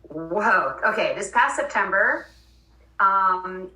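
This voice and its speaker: Bright-voiced woman interviewer, roomy and distant. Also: mid-range voice, animated, takes it slowly. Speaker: podcast interviewer. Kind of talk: conversational interview introduction. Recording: roomy sound, distant from mic.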